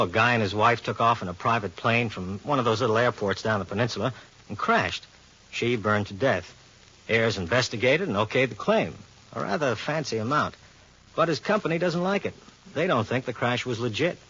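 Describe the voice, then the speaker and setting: Husky american cop, dry teasing banter, old 40s radio detective show, muffled sound. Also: male voice, mid-range voice, animated, fairly quick, sharp tone, heavily acted. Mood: casual, explaining. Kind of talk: 1940s radio detective drama acting. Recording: dull vintage radio recording.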